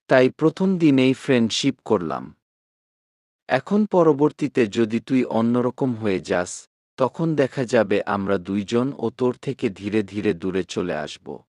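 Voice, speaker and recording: Storybook role: frank, bickering college girl, read by a man, slow pace, lively swings. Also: fairly low pitch, no room sound, studio quality. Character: chatty, frank, bickering young female student. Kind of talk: storybook dialogue reading.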